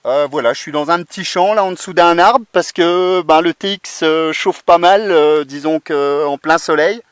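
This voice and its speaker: A man's voice: on the high side, moderate pace, smooth, dry recording, studio quality. A man, an amateur radio operator, chatting casually outdoors into a portable set's microphone. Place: outdoors in a field under a tree. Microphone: portable radio transceiver microphone.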